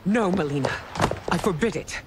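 An actress playing a proud, middle-aged empress in a video game, with a regal, stern and formal manner. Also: female voice, fairly low pitch, expressive, normal speed, noisy background, natural voice. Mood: alarmed. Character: proud, imperious, grieving empress, mother of grown daughter. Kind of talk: video game cutscene acting. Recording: loud music underneath.

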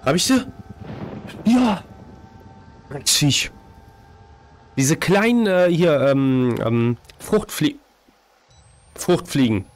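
A hoarse man in his thirties with a cold, casually commenting on a horror game at a desk microphone, reacting jumpily to scares. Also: a little high, lively intonation, very slow, long pauses, soft background music. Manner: chatty, jumpy reactions to scares. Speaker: let's play host. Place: home streaming room, indoors. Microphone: desk microphone, headset on.

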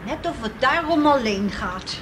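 Caring, sceptical country wife, high-pitched, homely scolding, noisy vintage radio drama. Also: female voice, lively intonation, moderate pace. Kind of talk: 1960s radio play acting. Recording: noisy old radio play recording.

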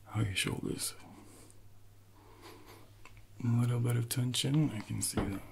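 A man in his thirties speaking softly and breathily in an ASMR roleplay as a personal trainer, often slipping into whispers while instructing. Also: mid-range voice, fast talker, creaky, a little hiss or hum. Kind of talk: soft-spoken ASMR roleplay.

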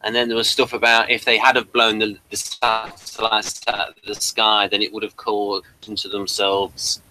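A man's voice: on the low side, completely flat delivery, normal speed, pushed and bright, slight background noise, low-quality recording. A man co-hosting a casual chat, hesitant and filling his sentences with uhs. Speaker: podcast co-host.

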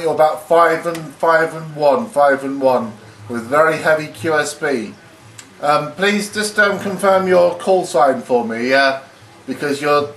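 A man's voice: a little high, a bit bright. A man chatting as an amateur radio operator in a hesitant way, repeating himself. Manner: hesitant, repeats phrases and spells words phonetically. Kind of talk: casual ham radio contact chat. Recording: echoey room, faint radio hiss.

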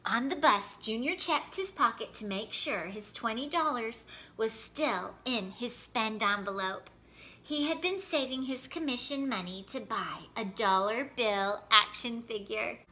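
A woman in her thirties reading a children's picture book aloud in an expressive, animated way. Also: on the high side, a little slow. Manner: animated, clearly paced storytelling. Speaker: storyteller reading picture books. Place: indoor living room by a fireplace.

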